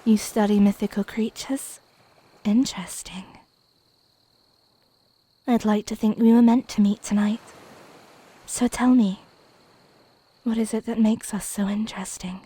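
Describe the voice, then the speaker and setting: A woman playing a flirty, teasing mermaid in an intimate ASMR roleplay, coy and playful with breathy half-whispers. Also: medium pitch, steady tone, unhurried, pauses often, dark tone. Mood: coaxing.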